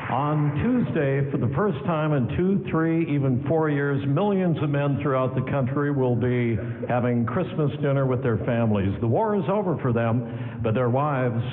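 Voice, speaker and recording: A man playing a folksy 1940s radio pitchman, announcing as host of a live stage broadcast in the style of old sponsor messages. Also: lively intonation, moderate pace, slight background noise, close to an everyday voice. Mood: earnest, folksy. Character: folksy wartime radio pitchman urging thrift. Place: theater stage in a town hall. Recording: tinny echoey hall live radio broadcast.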